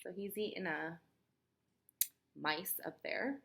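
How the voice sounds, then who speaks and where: A woman teacher reading aloud to young children in an expressive, instructive way, putting questions to the listener. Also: fairly low pitch, takes it slowly, creaky.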